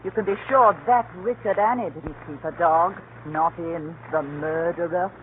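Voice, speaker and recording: An actress playing a scolding, opinionated working-class mother on a train in a 1930s radio drama, with a sharp, nagging manner. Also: lowish voice, normal speed, natural voice. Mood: huffy, preachy. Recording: scratchy, narrow-band 1930s radio broadcast.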